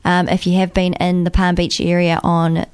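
A woman with a slightly nasal voice that still comes across smooth and clear. She speaks earnestly, with the odd light laugh. The recording is close and dry, with no room sound. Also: on the low side, monotone, normal speed, darkish tone, dry recording. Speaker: guest. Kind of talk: casual chat.

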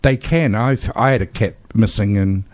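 A man's voice: fairly low pitch, moderate pace, a little mellow. An older man, hosting a casual radio chat and telling stories with a chuckle. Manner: chatty, anecdotal, chuckles through stories.

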